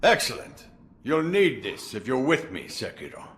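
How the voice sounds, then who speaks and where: An actor playing a gruff old swordsman in a game cutscene, sly and relishing, with sudden loud outbursts. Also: medium pitch, wildly swooping pitch, normal speed, background noise. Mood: gruff theatrical. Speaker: mentor recruiting the hero. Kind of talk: video game cutscene acting.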